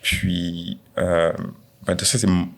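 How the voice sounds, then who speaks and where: A young man with a Quebec French accent, talking casually and reflectively in a conversation, with many pauses and fillers. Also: low voice, level tone, vocal fry, a bit bright. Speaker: guest.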